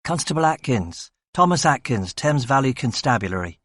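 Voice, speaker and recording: A man voicing an eager, talkative young male police constable, speaking in a brisk, breezy way. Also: mid-range voice, very animated, fairly bright tone, no echo, studio quality.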